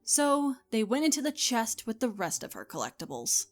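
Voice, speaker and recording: A woman reading scripted story narration as the narrator, in an expressive storytelling manner. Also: fairly high pitch, normal speed.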